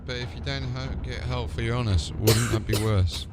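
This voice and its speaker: A man's voice: medium pitch, fairly even intonation, moderate pace, heavy background noise. A man livestreaming a video game with casual, chatty commentary, explaining things to viewers.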